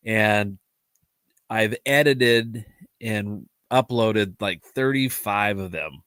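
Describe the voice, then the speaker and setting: A man's voice: mid-range voice, a bit bright, dry recording, studio quality. A man hosting a live podcast, talking casually with a nasal, allergy-stuffed voice, rambling, restarting his sentences and laughing often. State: congested from allergies. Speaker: podcast host. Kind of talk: casual solo livestream talk.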